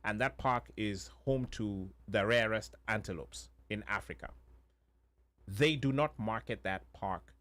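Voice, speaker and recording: A man, a co-host telling a story with many pauses and fillers. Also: on the low side, fairly bright tone. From Kenya. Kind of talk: casual conversation.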